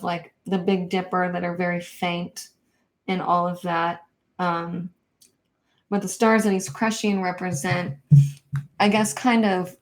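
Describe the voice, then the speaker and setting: Soft-spoken woman chatting on a livestream. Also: fairly low pitch. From Kansas. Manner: soft-spoken, hesitant, many ums and likes. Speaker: livestream host. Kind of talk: casual livestream chat, reading viewer comments.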